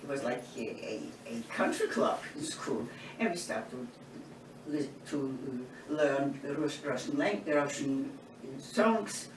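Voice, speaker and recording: A woman, from eastern Poland, giving oral history testimony in an unhurried storytelling way, often restarting her phrases. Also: rumbling low, animated. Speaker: Holocaust survivor interviewee. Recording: roomy, distant mic, background noise.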